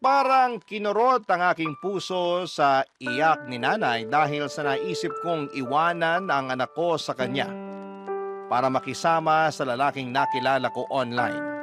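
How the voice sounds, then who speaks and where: A man reading a listener's letter as a dramatic first-person story, in the manner of a radio host. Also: fairly high pitch. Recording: music bed under the voice.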